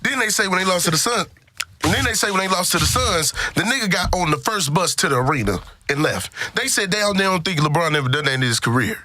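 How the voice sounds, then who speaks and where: American male podcast host, dry sound, sharp voice, emphatic slangy delivery that repeats for punch. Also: high-pitched, lively intonation, fast talker, dry recording. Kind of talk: casual sports and gossip chat.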